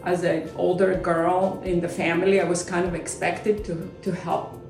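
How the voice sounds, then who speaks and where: Woman cooking in her kitchen, kinda low voice, roomy and far off mic. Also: level tone, normal speed, vocal fry, darkish tone. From Crete, Greece. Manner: explains steps plainly, small slips in grammar. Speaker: chef and company founder. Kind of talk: cooking demonstration. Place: home kitchen. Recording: echoey kitchen, far from mic, faint music.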